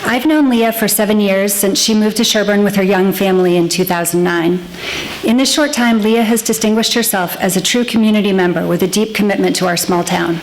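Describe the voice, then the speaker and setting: Woman, quiet voice, soft-spoken and polite, reading a nomination, faint background noise. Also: medium pitch, fairly quick, poor audio. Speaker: resident giving nominating speech.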